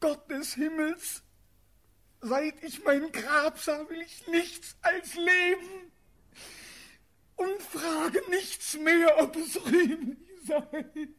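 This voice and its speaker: Desperate young prince, breathy and slow. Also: male voice, high voice, animated, exaggerated voice. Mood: desperate pleading. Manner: impassioned, declamatory, swinging from hush to outcry. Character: desperate young prince condemned to die, pleading for his life. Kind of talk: classical German stage-verse radio drama acting.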